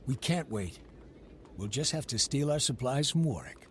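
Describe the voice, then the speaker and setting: An actor playing a determined, gruff frontier leader in a dramatic video game, with terse, resolute delivery. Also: male voice, mid-range voice, animated, moderate pace, a little hiss or hum, close to an everyday voice.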